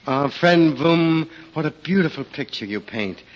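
An actor playing a henpecked, lazy village idler in a 1940s radio drama, drawling playfully with comic repetition and a timid streak. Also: male voice, on the high side, lively intonation, unhurried. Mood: sly, interested. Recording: muffled, faintly noisy 1940s radio recording.

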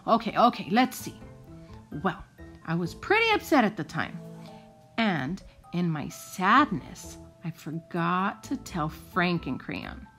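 A woman's voice: fairly low pitch, sing-song, slow pace, a bit bright, soft background music, pitched below the performer's own voice, exaggerated voice. A woman voicing a harried pencil in a storybook, apologetic and matter-of-fact as it instructs its crayon cast.

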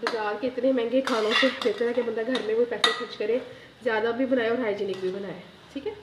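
A woman's voice: a little high, fairly even intonation, a little fast, very noisy. A woman hosting a home cooking channel, chatting directly to viewers as she demonstrates a recipe step by step at her kitchen stove. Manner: chatty, addresses viewers directly, often adds a pious phrase. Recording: echoey kitchen with loud sizzling and clanking pans.

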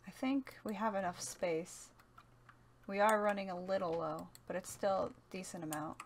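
A woman's voice: mid-range voice, normal speed, natural voice. A woman playing a reserved, weary apocalypse survivor with terse, hesitant delivery in an amateur roleplay, with faint noise. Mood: weary, subdued.

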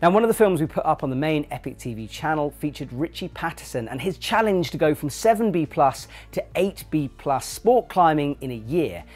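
A man hosting a climbing show and introducing films in a brisk, upbeat way. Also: on the high side, animated, darkish tone. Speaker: show host. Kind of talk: scripted presenting.

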